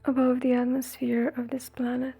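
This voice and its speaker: A woman leading a guided meditation in a soothing, breathy, gentle way, leaving long pauses between phrases. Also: sits high, completely flat delivery. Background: faint music.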